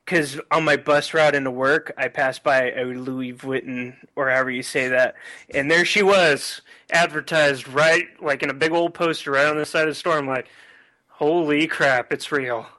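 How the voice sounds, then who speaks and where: Man, slightly high pitch, very bright tone, casual podcast guest. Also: fairly even intonation, normal speed, poor audio. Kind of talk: casual chat.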